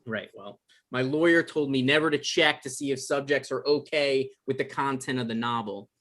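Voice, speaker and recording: A man's voice: mid-range voice, moderate pace, fairly bright tone, close to an everyday voice. Man acting a flustered, apologetic boyfriend type. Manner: stammering, flustered, naturalistic. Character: anxious, apologetic man confronting his exes, defensive. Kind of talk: live table read acting.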